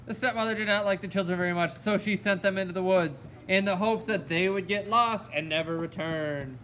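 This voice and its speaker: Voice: medium pitch, fairly quick, slight background noise, rough recording. A storyteller narrating a fairy tale for a children's puppet show. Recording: loud music alongside the voice. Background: music.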